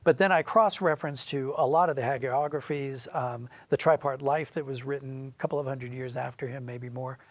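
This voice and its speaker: A man speaking as an interview guest, a writer explaining and telling stories in an animated, conversational way. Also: medium pitch, fairly quick, few pauses, no echo.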